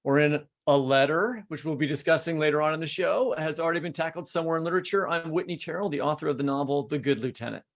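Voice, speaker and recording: A man's voice: on the high side, fast, hardly any pauses. A middle-aged man hosting a podcast in an informal, joking, conversational way, with plenty of words. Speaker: podcast host.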